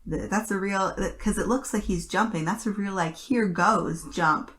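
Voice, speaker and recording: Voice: medium pitch, moderate pace, a bit bright. A podcast host chatting casually and enthusiastically, in a gushing fan style.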